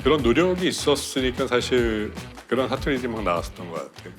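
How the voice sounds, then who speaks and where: Mid-pitched male director, soft music behind. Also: sing-song, fast. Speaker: director guest. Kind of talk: casual explanatory interview answers.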